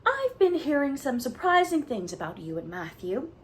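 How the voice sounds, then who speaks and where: A woman's voice: high-pitched, very animated, moderate pace, slight background noise, pitched above the performer's own voice. A woman voicing a blunt, gossipy older village woman who talks emphatically. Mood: gloomy. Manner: emphatic, speaks her mind.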